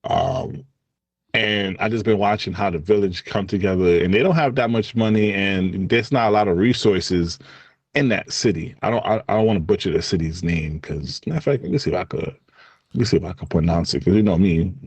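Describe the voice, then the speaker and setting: A man with a Haitian accent co-hosting a podcast in casual chat, animated and quick to laugh, his voice jumping high when he gets excited. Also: husky, no room sound. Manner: lively, jumps high in pitch when excited, laughs often. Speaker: podcast co-host.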